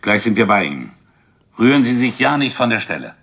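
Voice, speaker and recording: Grumpy low-voiced police inspector. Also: male voice, big pitch swings, a little fast, a bit bright, exaggerated voice. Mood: officious, exasperated. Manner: gruff, curt questions. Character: male police chief inspector, grumpy, long-suffering and officious. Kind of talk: radio play dialogue.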